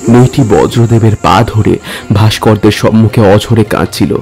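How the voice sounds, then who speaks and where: A man reading a dramatic story as a narrator, with measured, literary delivery. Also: fairly low pitch. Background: music.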